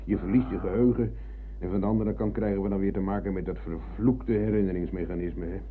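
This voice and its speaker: An actor playing a forgetful old war veteran in a radio play, husky and halting as he rambles. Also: low-pitched, steady tone, slight background noise, close to an everyday voice. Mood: rambling, hesitant. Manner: hesitant, halting, peppering talk with tag questions. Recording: thin, phone-like old radio recording.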